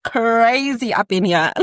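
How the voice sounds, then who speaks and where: A woman's voice: a little high, expressive, slow, no echo, clean studio sound. A woman in her thirties, a podcast guest, telling candid stories in conversation with playful asides and easy laughter.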